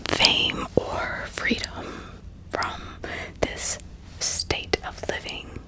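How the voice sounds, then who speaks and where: A woman narrating true crime in a breathy ASMR whisper, deliberate and often repeating words and phrases. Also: poor audio. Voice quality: breathy, mostly unvoiced whisper. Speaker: ASMR host.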